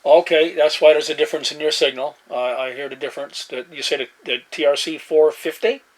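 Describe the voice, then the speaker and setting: A man chats casually in a halting, laid-back way, using CB radio jargon like a hobbyist at his desk. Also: fairly high pitch, fairly even intonation. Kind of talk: casual radio chat and demo narration. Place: indoor desk beside CB radio sets. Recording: noisy room with radio hiss. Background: radio static and room noise.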